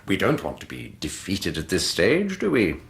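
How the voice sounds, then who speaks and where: An actor playing a menacing, gloating villain in a radio drama, speaking with slow, mock-polite menace. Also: male voice, low voice, huge pitch swings, creaky, fairly bright tone, a little hiss or hum, full character voice.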